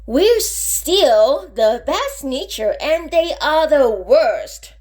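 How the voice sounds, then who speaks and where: A woman reading a storybook, voicing snooty, excitable beach creatures who exclaim indignantly and boastfully. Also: high voice, very slow, exaggerated voice. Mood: whining, indignant.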